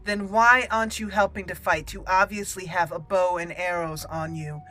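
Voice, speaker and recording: A woman voicing a stern, suspicious elf mage in a video game roleplay, firm and measured as she interrogates. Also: on the low side, steady tone, pushed and bright, soft background music. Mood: stern, probing.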